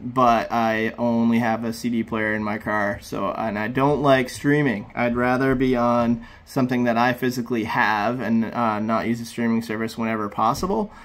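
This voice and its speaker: A man in his thirties hosting a casual step-by-step computer tutorial, talking through each click in a relaxed, plain way. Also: medium pitch. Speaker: tutorial host.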